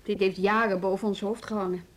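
A woman acting in a naturalistic radio drama as a composed, frank school colleague with a measured, slightly husky voice. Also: medium pitch, flat delivery, close to an everyday voice. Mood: calm, frank.

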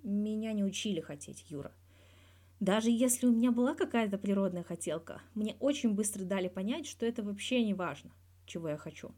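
A young male sports star played by a woman narrator answers in an audiobook interview. He is wry, guarded and blunt, with dry teasing in a mellow voice. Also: mid-range voice, expressive, fairly quick, natural voice. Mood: self-assured, frank. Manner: guarded yet blunt, dryly teasing, swears freely.

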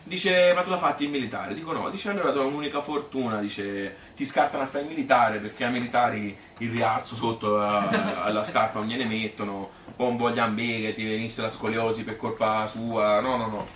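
A man's voice: fairly low pitch, fairly even intonation, brisk, hardly any pauses, fairly bright tone, close to an everyday voice. A male actor playing a chatty Tuscan man who reminisces about his youth in a colloquial, rambling stage monologue, full of repetitions and appeals for agreement. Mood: wry, amused. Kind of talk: spontaneous-sounding theatrical storytelling. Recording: echoey room, distant mic, faint noise.

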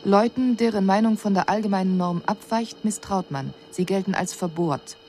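An actress playing an extraterrestrial observer in a radio drama, reporting on human customs in a clinical, measured, matter-of-fact way. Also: female voice, medium pitch, mellow, a little hiss or hum, natural voice. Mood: detached, analytical.

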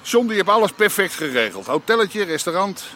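An actor playing an old-style underworld boss in a radio play, speaking in an offhand, terse and probing way. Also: male voice, high-pitched, very animated, fairly quick, pushed and bright, slight background noise, exaggerated voice. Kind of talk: naturalistic radio play dialogue.

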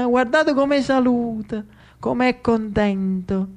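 A man voicing excited, mocking Neapolitan street ruffians, exclaiming in dialect in a stage monologue. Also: very high-pitched, takes it slowly, voice pushed up, exaggerated voice. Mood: amused, mocking.

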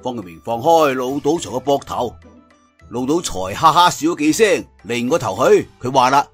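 A man's voice: medium pitch, expressive, moderate pace, a bit bright, slightly rough, soft background music. A man with a Cantonese accent telling a story in a dramatised traditional storytelling style.

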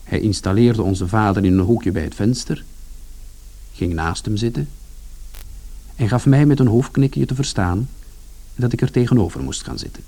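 A man's voice: on the low side, normal speed, pauses often, dark tone. An actor playing a wry, sardonic first-person narrator in a radio play, telling his family story in blunt colloquial words. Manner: sardonic, colloquial, mildly swearing.

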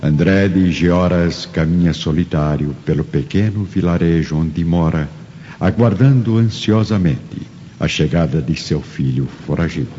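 A man's voice: deep voice, measured pace, warm tone. A man giving solemn, theatrical scripted narration for a drama. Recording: muffled audio with faint music.

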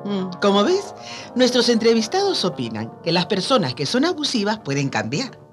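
A woman's voice: medium pitch, huge pitch swings, normal speed, music in the background. A woman co-hosting a radio programme, expressively addressing listeners in a scripted conversational style. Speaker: radio co-host.

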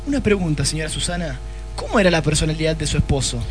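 A man acting a gruff, impatient private detective in a comic radio play, with an Argentine accent and a curt, deadpan delivery. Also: on the high side, fast talker, soft background music. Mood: probing.

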